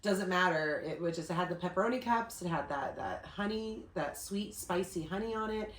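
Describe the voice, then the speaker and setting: A woman co-hosting a travel vlog, chatting casually and quickly. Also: lowish voice. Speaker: vlog co-host. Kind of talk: casual chat. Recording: echoey room.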